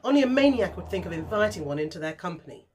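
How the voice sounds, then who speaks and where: A woman's voice: fairly low pitch, animated, fast, darkish tone, soft background music, lightly acted. A woman playing a blunt, indignant, combative customer in an audio drama.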